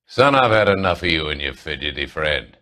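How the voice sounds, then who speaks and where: Smug American tycoon, animated delivery. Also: male voice, rumbling low, heavily acted. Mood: scornful, defiant. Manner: smooth-talking, self-assured, patronizing. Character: powerful, smug, condescending middle-aged male property tycoon. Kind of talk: video game voice acting, 1940s crime drama.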